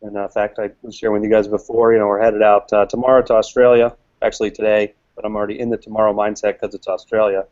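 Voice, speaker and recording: A man answering interview questions as a guest expert, relaxed and full of fillers. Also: lowish voice, fast, few pauses. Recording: dull lo-fi webcast audio.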